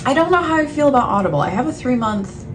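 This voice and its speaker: A woman's voice: sits high, sing-song, quick pace. A woman chatting casually to camera as a book vlogger, in a rambling, self-deprecating way, often trailing off mid-thought. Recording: clearly noisy, ambient background sound.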